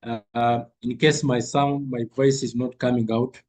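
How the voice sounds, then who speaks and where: A man's voice: medium pitch, level tone, a little slow, darkish tone. A man with a cold teaching a live online trading lesson, repeating figures and phrases for emphasis. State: has a cold. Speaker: trading coach.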